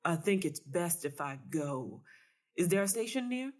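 A woman's voice: fairly low pitch, animated, moderate pace, darkish tone. A woman voicing a wary, bewildered young Southern man in a story, hesitant and polite.

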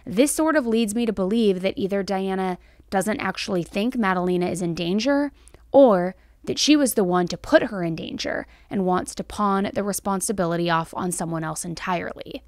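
A woman's voice: mid-range voice, moderate pace, a little mellow, no room sound. True crime host: American female, 30s, mid-range voice, chatty yet emphatic delivery, tight dry podcast recording. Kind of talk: true crime commentary to camera. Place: small indoor studio set. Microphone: close podcast microphone on stand.

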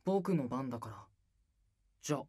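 A man playing a cool, snarky young male idol in a voice drama, deadpan and needling. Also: medium pitch, a little slow, breathy edge. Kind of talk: Japanese voice drama acting.

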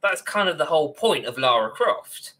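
A man in his twenties or thirties co-hosting a casual podcast over a video call, rambling and animated. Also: on the high side, normal speed. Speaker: podcast co-host. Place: small room at home, on a video call.

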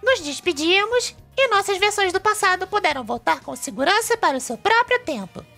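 A woman's voice: very high-pitched, sing-song, a little fast, creaky, bright, forceful tone, soft background music, heavily acted. A woman voicing a cheerful, brainy young male fox who presents a cartoon web show, eagerly explaining things with a Brazilian accent. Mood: explanatory.

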